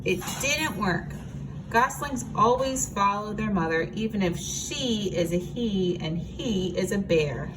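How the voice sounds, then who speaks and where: A woman reading a picture book aloud to children in an expressive, teacherly way, with asides and questions. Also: medium pitch, slow pace. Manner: expressive, explains words and asks listeners questions. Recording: noisy, distant microphone.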